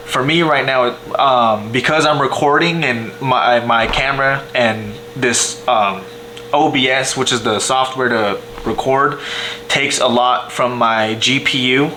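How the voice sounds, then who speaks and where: A man's voice: mid-range voice, sharp tone, slight background noise, poor audio. A young man giving a casual, rambling music production tutorial from a home computer, with lots of fillers and restarts. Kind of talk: casual screen-share tutorial. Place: small home kitchen, indoors.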